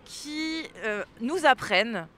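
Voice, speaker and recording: Bright-voiced woman interviewing in the street. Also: sits high, lively intonation, slow. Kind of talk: casual vox pop interviewing. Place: outdoors on a city street. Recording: outdoor street with light traffic noise.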